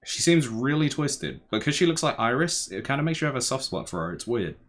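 A young man giving casual, slangy reaction commentary, musing aloud in a laid-back, tired, low-energy way. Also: very animated, a little fast. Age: young adult. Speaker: anime reaction host. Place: small bedroom, indoors.

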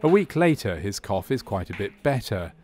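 A man narrates a medical training film in a fairly low voice. His delivery is scripted documentary narration, explaining things as a narrator would. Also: very animated, moderate pace, very noisy.

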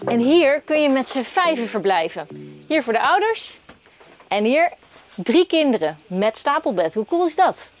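A woman's voice: high voice, very animated, measured pace. A woman in her thirties presenting a travel show, enthusiastically showing places and holiday homes. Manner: enthusiastic, touring and pointing things out. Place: outdoors in old town streets and holiday homes. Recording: background music under the voice. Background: music.

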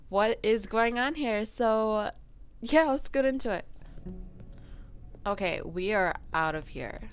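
A woman commentating on her let's play, a little raspy from just waking up, playful and mock-outraged at the game. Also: mid-range voice, dry recording. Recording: game music loud under her voice.

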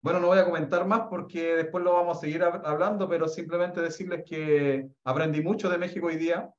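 A man's voice: on the high side, steady tone, a little fast. A man in his thirties with a Chilean accent, chatting animatedly on a video call from an office and restarting his sentences often. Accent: Chilean Spanish. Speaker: researcher. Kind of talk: casual panel comments on a video call. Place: office with bookshelves. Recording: roomy, dull video call audio.

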